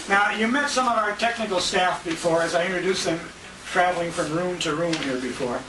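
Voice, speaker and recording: A man's voice: high-pitched, a little fast. An older American man hosting a dinner as emcee, giving a live tribute speech in a restaurant banquet room. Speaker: emcee and radio show host. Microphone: distant handheld compact camera. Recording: echoey, noisy handheld camera from far off.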